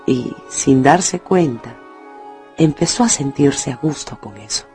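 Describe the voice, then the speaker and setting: A woman narrating a dramatized radio novel with expressive storytelling. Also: extremely low pitch, takes it slowly, soft background music.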